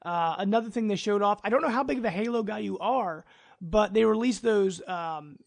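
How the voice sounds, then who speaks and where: A man's voice: high-pitched, a little fast, creaky. A man hosting a casual podcast in an agreeable, chatty way. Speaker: podcast host.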